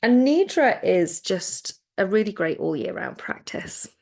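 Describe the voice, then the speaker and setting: A woman teaching guided relaxation, speaking in a soothing, gentle way with phrases that trail off softly. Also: mid-range voice, very animated, a little slow, creaky. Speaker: yoga nidra relaxation teacher.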